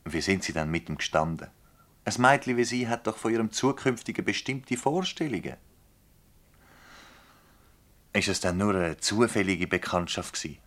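An actor playing a probing, impatient public prosecutor in a Swiss German dialect radio play, questioning in a formal, insistent way. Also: male voice, lowish voice, animated, brisk, a bit bright. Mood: pressing.

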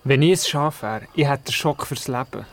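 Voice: medium pitch, a little fast, a little hiss or hum, lightly acted. A performer playing a curious, doubting young boy in a Swiss German radio play, asking questions and narrating. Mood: curious, puzzled. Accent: Swiss German, Glarus area. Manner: inquisitive, skeptical.

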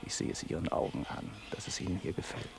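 An actor in a 1960s German radio comedy playing a rich, smug company boss, smooth-tongued, flattering and pushy. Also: male voice, fast, lightly acted. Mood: wheedling, insistent.

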